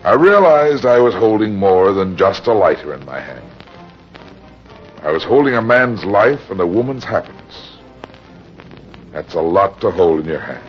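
Casting: weary private eye, 1940s radio drama; low, deliberate delivery, faint music behind. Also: male voice, expressive. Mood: brooding. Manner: terse, grave, deliberate. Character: weary, hard-boiled private detective narrating his case. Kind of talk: 1940s radio detective acting. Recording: dull old radio recording with music.

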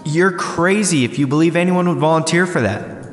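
A man acts out a skeptical college student in his early twenties with a fairly high voice. He is sardonic and defiant, in audio drama style. The room is roomy and reverberant, with light music behind. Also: moderate pace, close to an everyday voice. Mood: skeptical, challenging. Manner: challenging, sardonic. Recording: echoing lecture room, faint music.

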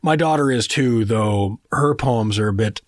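A man's voice: medium pitch, a little slow, dry recording, clean studio sound, lightly acted. A man playing a bewildered, awkward middle-aged average dad in a comedic audio drama, hesitant and rambling. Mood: awkward, puzzled. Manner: hesitant, rambling, easily flustered.

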